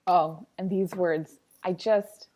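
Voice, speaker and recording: Slow-paced, playful woman reading aloud. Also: on the low side, fairly even intonation, dark tone, a little hiss or hum. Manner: sing-songy, playful, dramatic delivery. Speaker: teacher reading a picture book aloud. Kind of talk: expressive read-aloud with chatty asides.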